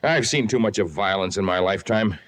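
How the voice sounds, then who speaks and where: A man's voice: low-pitched, a bit bright. A man playing a grave, noble jungle hero in a 1950s radio adventure, speaking formally and solemnly. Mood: grave, resolute. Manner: solemn, formal, measured phrasing. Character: grave, noble jungle lord weary of violence. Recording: noisy 1950s radio recording.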